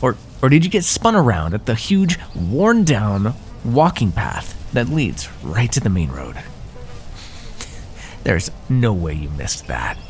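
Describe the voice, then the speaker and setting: Deep, smug snake-man predator, acted by a man. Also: sing-song, takes it slowly, exaggerated voice. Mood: mocking amusement. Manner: mocking, condescending, drawling pet names. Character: smug predatory male naga, teasing and menacing. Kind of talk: ASMR fantasy roleplay.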